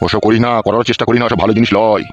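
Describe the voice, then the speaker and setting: A man voicing a gruff, suspicious village husband and father in an amateur comedy cartoon, with a rural West Bengali accent. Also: on the low side, steady tone, racing, highs cut off, loud music behind the voice, close to an everyday voice. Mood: placating.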